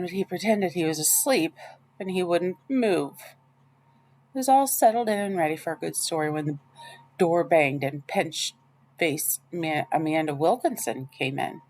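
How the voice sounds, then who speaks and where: A woman reading a children's novel aloud in the first person, with expressive storytelling. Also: on the low side. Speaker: audiobook reader.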